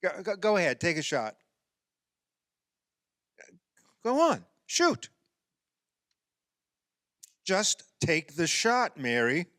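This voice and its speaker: Actor as excitable middle-aged husband, swooping pitch. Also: male voice, slow, dry recording, studio quality, full character voice. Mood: egging on. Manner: excitable, insistent, argumentative. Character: eager, needling fifty-year-old husband playing basketball. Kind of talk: radio play dialogue.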